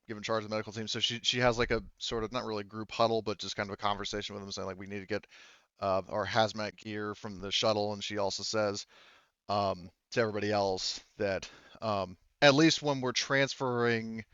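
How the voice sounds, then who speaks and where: A man narrating a tabletop roleplaying game as game master, halting and thinking aloud as he goes, through dull lo-fi audio. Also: fairly low pitch, fairly even intonation, fairly bright tone, clear, resonant tone, dry recording.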